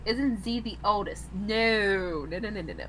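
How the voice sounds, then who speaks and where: A woman's voice: medium pitch, unhurried, fairly bright tone, poor audio. A woman in her twenties in casual, teasing chat with friends on a stream. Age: 20s. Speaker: streamer chatting during a drawing stream. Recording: faint background noise and hum.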